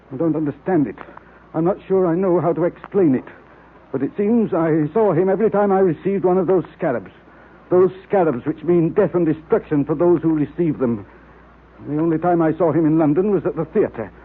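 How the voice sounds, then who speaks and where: A man's voice: fairly high pitch, moderate pace, slightly rough. An actor playing a nervous, evasive man with a secret, hesitant and defensive, in old-time radio drama style. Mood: evasive, uneasy. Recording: tinny, hissy old-time radio recording.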